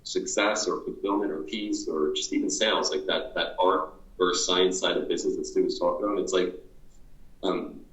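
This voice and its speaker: Deep-voiced man interviewing earnestly. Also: steady tone, normal speed, a bit bright, reverberant, lo-fi sound. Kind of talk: motivational interview.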